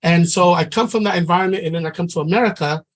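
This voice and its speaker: A man's voice: high-pitched, quick pace. A middle-aged man from Samoa, teaching a trading class in an earnest, conversational way and often restarting his sentences. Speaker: trading instructor.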